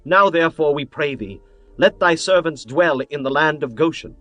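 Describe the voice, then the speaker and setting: A man's voice: on the high side, moderate pace, bright, forceful tone. A man voicing humble shepherds and starving people, pleading deferentially. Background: faint music.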